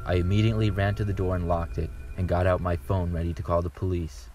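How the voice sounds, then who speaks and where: Deep, flat-voiced man narrating horror. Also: quick pace, slight background noise. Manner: calm, steady first-person reading. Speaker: narrator reading submitted stories. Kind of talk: scripted horror story narration.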